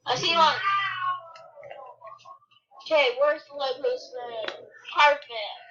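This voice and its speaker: Young girl playing pretend in a game, improvising out loud, high voice, far from a dull mic. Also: slow, slight background noise. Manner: playful, improvising lines aloud. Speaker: player voicing a roleplay video. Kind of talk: casual pretend-play game chat. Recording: muffled, distant home mic.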